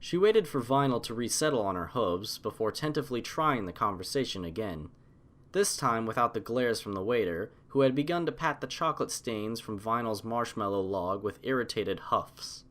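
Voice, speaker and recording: A man narrating a scripted fan story as an audiobook, in an expressive storytelling style. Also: lowish voice, normal speed.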